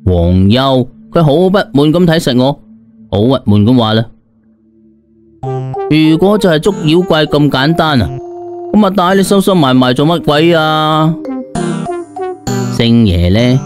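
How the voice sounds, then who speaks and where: A man's voice: a little high, expressive, measured pace, pauses often. A man narrating a story as an audiobook storyteller in colloquial, slangy first person, dramatizing it expressively. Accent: Cantonese. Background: background music.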